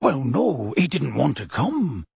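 A man voicing a huge, kindly half-giant for an audiobook, emotional and pleading, with halting, rustic speech. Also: mid-range voice, sing-song, vocal fry, a bit bright, husky, no echo, studio quality, heavily acted. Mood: desperate, tearful. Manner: earnest, halting, rustic dialect grammar. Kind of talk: audiobook character voice.

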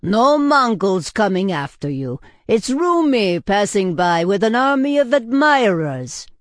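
A man's voice: sits high, lively intonation, measured pace, creaky, darkish tone, slightly rough, no room sound, clean studio sound, exaggerated voice. A man voicing a blunt but kindly tavern owner, exasperated and protective, in an audiobook style. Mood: mocking reassurance. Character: blunt, worried, kindly male tavern owner, scolding a friend. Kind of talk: audiobook character acting.

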